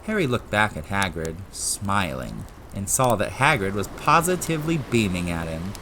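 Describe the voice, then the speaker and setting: A man's voice: lowish voice, very animated, moderate pace, background noise. A man narrating a story in a dramatic audiobook style, with ambient sound effects behind him.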